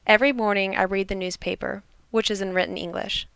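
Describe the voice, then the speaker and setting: A woman student interpreter voicing a signer's story in calm, measured first-person narration. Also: mid-range voice, vocal fry, clear, resonant tone, no room sound.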